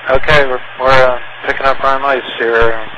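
A man flying as an airline pilot, speaking in a halting way with repeated words over a noisy radio transmission. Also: mid-range voice, flat delivery, muffled recording.

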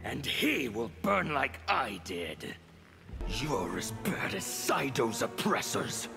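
An actor playing a grim, disfigured fanatic villain with a gravelly voice, uttering threats in a fighting video game. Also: male voice, mid-range voice, sing-song, takes it slowly, heavily acted. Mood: menacing. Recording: game music behind.

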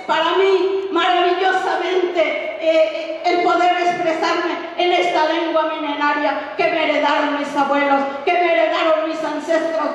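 A woman's voice: very high voice, level tone, muffled recording, slight background noise. A middle-aged woman from Oaxaca giving an impassioned, declamatory speech on stage into a handheld microphone. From Oaxaca, Mexico. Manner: impassioned, declamatory, slow emphatic phrasing. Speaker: pageant contestant giving a speech. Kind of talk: proud public speech on stage. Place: large hall stage at a cultural festival. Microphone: handheld microphone through a PA. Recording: very echoey hall, captured from far off.

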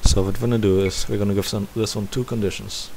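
Man, low-pitched voice, casual tutorial narration, thinking out loud, faint hiss. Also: quick pace. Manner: thinking aloud step by step. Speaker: tutorial presenter. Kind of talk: casual screen-recorded game tutorial. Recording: steady hiss behind the voice.

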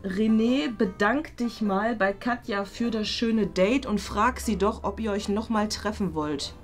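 A woman's voice: medium pitch, measured pace, faint music behind. A woman in her twenties hosting a video in a casual, playful way. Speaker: host of an online prank date show.

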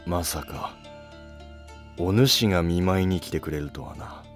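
Man acting as ailing old emperor, very low voice, slow solemn delivery, lordly, historical animated drama. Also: soft background music. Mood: stern, searching. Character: ailing old retired emperor, weary, grave and watchful. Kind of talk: scripted historical drama dialogue.

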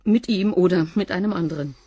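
A woman's voice: fairly low pitch, quick pace, mellow, breathy edge, dry recording. An actress playing a cleaning woman in a radio play, answering in a dry, matter-of-fact way. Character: blunt wry cleaning woman witness.

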